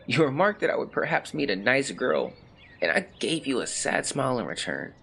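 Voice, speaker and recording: An actor playing a melancholy young man who reads out a confessional farewell letter in measured, formal words, as in an audio drama. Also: medium pitch, very animated, a bit bright, a little hiss or hum. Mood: tender, sorrowful. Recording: clear birdsong and outdoor ambience behind. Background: birdsong, nature ambience.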